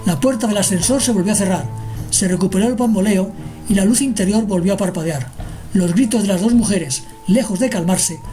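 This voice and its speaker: Female storyteller for radio fiction, scripted delivery, soft-edged warm timbre, medium pitch, music bed. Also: fairly quick. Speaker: narrator. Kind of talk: scripted radio drama narration. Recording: music behind the narration.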